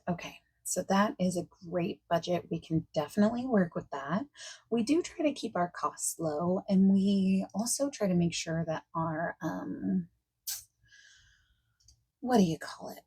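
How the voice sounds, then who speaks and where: A woman playing a friendly, eager party planner in a soft-spoken ASMR roleplay, breathy and often near a whisper, chatty and reassuring. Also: lowish voice, heavily acted.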